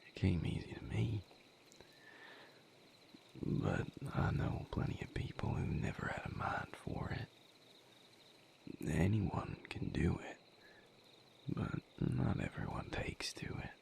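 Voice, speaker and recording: A man playing a gentle, wry vampire healer in an intimate audio roleplay, speaking to the listener in halting, reflective phrases with a breathy, gravelly near-whisper. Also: low-pitched, wildly swooping pitch, a little hiss or hum, full character voice. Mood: patient, thoughtful. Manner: halting, reflective, casually profane. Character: male vampire healer, gentle, wry, weary but content lover.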